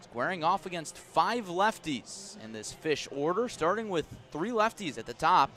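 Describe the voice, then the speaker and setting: A man doing live baseball play-by-play for radio, describing each detail in a measured way and punching up the action. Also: a little high, animated, sharp tone, soft background music. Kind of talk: live sports commentary. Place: outdoor ballpark broadcast.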